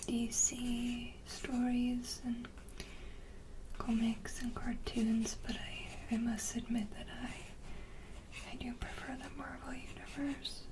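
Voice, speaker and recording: A woman hosting an ASMR video, chatting casually to viewers in a soft, gentle whisper. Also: a little high, little pitch movement, takes it slowly, noisy background.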